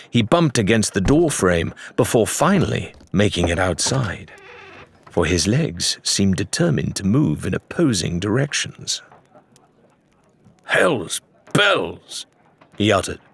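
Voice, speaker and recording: A man's voice: on the low side, vocal fry, slightly rough, no room sound. A man reading an audiobook as narrator, in dramatic scripted storytelling.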